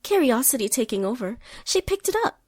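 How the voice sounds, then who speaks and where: A woman's voice: fairly high pitch, big pitch swings, brisk, a little mellow, no room sound, clean studio sound. A woman narrating a comic scripted story with a wry touch.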